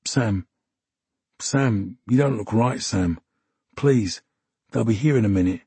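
Man voicing a nervous young soldier, hushed, worried, husky. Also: on the low side, slow, no room sound, heavily acted. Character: anxious, simple young English private.

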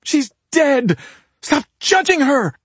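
A man narrator plays an overwrought grieving sister in a crime audiobook. The woman sounds defensive and emotional, with pitch lifted well up, recorded dry. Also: lively intonation, normal speed, slightly rough, dry recording, exaggerated voice. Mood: anguished.

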